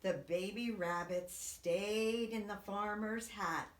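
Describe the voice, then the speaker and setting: A woman, a preschool teacher, reading a picture book aloud to young children in an expressive, dramatic way. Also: mid-range voice, takes it slowly. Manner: expressive, dramatic whispers and swoops. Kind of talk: animated read-aloud storytelling for young children. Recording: echoey room.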